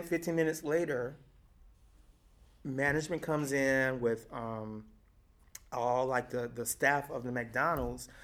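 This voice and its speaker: A middle-aged woman from Texas with a deep, raspy voice, talking and laughing her way through memories in an oral history interview. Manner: lively, talkative, laughs often. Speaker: activist interviewee.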